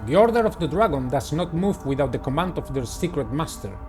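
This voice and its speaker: A man's voice: on the high side, very animated, fairly quick, a little mellow, soft background music. A man reading the part of a stern, commanding Sultan in a visual novel, speaking formally. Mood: grim. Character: stern, commanding male Ottoman Sultan, shaken by betrayal.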